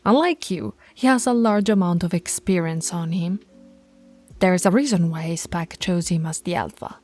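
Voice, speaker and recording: A woman's voice: lowish voice, moderate pace, darkish tone, faint music behind, close to an everyday voice. A woman voicing a stern, teasing alpha werewolf pack leader in an audio roleplay, authoritative and taunting. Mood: stern, scolding.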